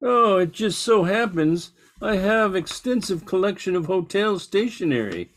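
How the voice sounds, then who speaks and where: A man's voice: high voice, animated, a little slow. A man playing a stuffy, fussy, indignant old-fashioned wealthy uncle in an old-time radio drama. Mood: puzzled, indignant.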